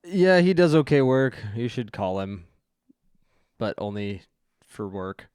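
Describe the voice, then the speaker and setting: A man with a mid-range voice that leaps up and down through a very wide range of pitch. He makes teasing, dry jokes on a podcast, speaking close to the mic in a flat, dead room. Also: slow, smooth, dry recording. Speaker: podcast guest, business owner. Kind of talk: casual podcast chat.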